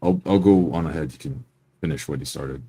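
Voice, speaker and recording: A man playing a sincere, polite, awkward adventurer with halting speech in a tabletop game. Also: rumbling low, dark tone, husky.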